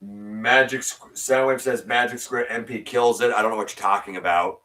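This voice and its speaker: A man hosting a live stream, reading viewer comments and answering them in casual, opinionated chat, his voice sometimes a little raspy. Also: fairly even intonation, moderate pace, sharp tone, recorded from a distance, rough recording. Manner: opinionated, ranting with rising pitch when worked up.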